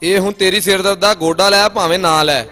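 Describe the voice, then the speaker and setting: A man's voice: high voice, steady tone, a little fast, sharp tone. A man voicing a clever, cheeky young courtier in a comic cartoon dub, with a Punjabi accent. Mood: triumphant. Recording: music behind the voice. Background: music.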